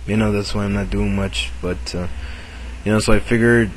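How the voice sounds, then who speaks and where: Raspy man with a cold. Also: fairly low pitch, fairly even intonation, slight background noise, rough recording. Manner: halting, full of fillers and restarts. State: sick with a cold, sore throat. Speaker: video maker. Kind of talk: casual chat to camera.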